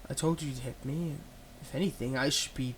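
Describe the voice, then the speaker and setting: Casting: male actor as possessive supervillain for ASMR drama, mid-pitched, coaxing and deliberate, some background noise. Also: steady tone, natural voice. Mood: gentle, reassuring. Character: manipulative, soothing male supervillain, tenderly possessive of his captive. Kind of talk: ASMR roleplay acting.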